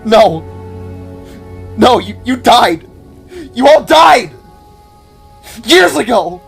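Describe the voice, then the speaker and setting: A man's voice: very high-pitched, very animated, extremely slow, vocal fry, a bit bright, breathy edge, faint music behind, voice pushed up, full character voice. A man voicing an anguished young robotic alien brother in an audio drama, stammering in disbelief. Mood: panicked anguish.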